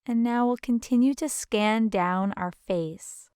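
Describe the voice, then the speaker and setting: A woman leading a guided meditation as instructor, with a gentle, soothing, unhurried manner. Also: fairly high pitch, clean tone, no room sound, clean studio sound.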